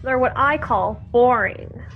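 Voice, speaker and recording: A woman's voice: a little high, slow pace, narrow, tinny audio. A woman playing a cheeky kid in a sci-fi radio play, teasing others with a mocking drawl.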